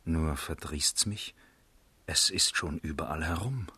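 An actor playing an honest, concerned friend in a radio drama, speaking plainly. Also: male voice, rumbling low, unhurried, pushed and bright, breathy edge, dry recording, heavily acted. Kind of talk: German literary radio drama.

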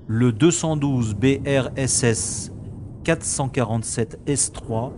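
An amateur actor playing a polite, methodical male special agent in a science-fiction audio drama, formal and measured. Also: mid-range voice, background noise, close to an everyday voice. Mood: grave.

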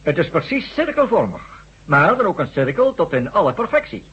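A stammering, high-voiced scientist. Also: male voice, huge pitch swings, a little fast, fairly bright tone, husky, exaggerated voice. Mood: explaining. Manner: hesitant, stammering. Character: Professor Jackson, hesitant acoustics scientist. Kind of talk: 1970s radio drama acting. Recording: dull lo-fi radio recording.

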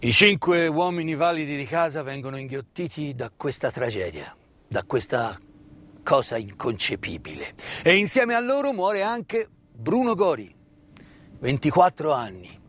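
A man delivering scripted theatrical narration of history as a stage storyteller, in a measured way with deliberate pauses. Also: on the high side, big pitch swings, fairly bright tone. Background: live instrumental music.

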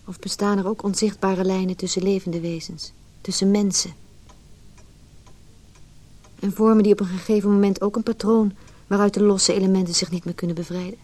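An actress playing a philosophical narrator, giving solemn, deliberate scripted narration for a radio play. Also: female voice, medium pitch, steady tone, warm tone, natural voice. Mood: pensive, ominous. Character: philosophical, ominous female narrator musing on fate.

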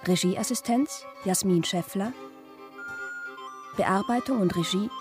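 A woman's voice: mid-range voice, slow, a little mellow. Woman announcing radio credits over music.

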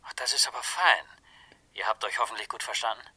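Sharp, brisk police inspector. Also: male voice, on the low side. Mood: brisk, avuncular. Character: brisk male police inspector, gently condescending. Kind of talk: German crime radio play acting.

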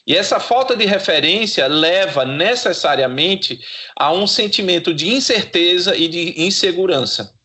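A man's voice: sits high, animated, moderate pace, bright, forceful tone. A man, speaking didactically as an expert guest in an interview. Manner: didactic, academic wording. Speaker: guest expert, psychologist and professor. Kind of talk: explanatory interview talk.

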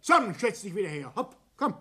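A man's voice: high voice, sing-song, moderate pace, sharp tone, slight background noise, heavily acted. An actor playing a domineering, hot-tempered old Bavarian father and businessman in a radio play, blustering and commanding with a Bavarian accent. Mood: pleading, pressuring. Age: older. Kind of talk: German radio play acting.

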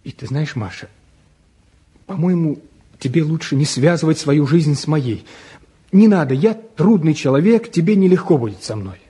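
Earnest young man in his twenties, very husky, mellow, higher-pitched, reflective, self-doubting, 1950s stage drama. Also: expressive, moderate pace. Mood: impassioned. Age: 20s. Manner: reflective, emphatic, repeats words for weight.

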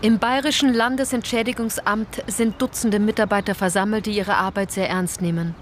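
A woman's voice: mid-range voice, steady tone, moderate pace, slight background noise, close to an everyday voice. An actress playing a sardonic female tour guide in a German radio play, addressing listeners directly with theatrical irony. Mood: ironic, storytelling. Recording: music under the voice. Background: music.

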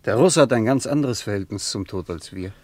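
An actor playing an insistent, emphatic traveller in a radio play. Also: male voice, lowish voice, expressive, a little fast, dry recording. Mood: insistent, sombre. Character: insistent male traveller pointing things out.

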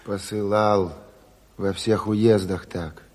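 An actor playing a brisk, bossy local Party chairman in a radio drama, blunt and colloquial, firing off orders and questions. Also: fairly low pitch, steady tone, a little mellow. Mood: brisk, commanding. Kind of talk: theatrical Soviet radio drama acting. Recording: reverberant hall, stage recording.

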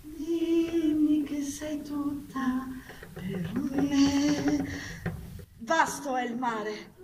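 A woman's voice: high voice, fairly even intonation, drawn-out words, dark tone, slight background noise. A woman playing a yearning stage figure, reciting poetically of love. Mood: wistful. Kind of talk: slow poetic theatre recitation with snatches of song. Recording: echoey stage sound with music. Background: music.

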